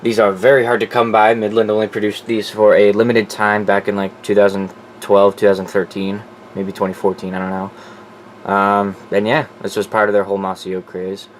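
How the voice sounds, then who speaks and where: Low voiced dude doing a loose unscripted show and tell, kinda sweary, some background hum. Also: male voice, level tone, moderate pace. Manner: informal, profane. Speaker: collector presenting his weather radio collection. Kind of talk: casual off-the-cuff show-and-tell.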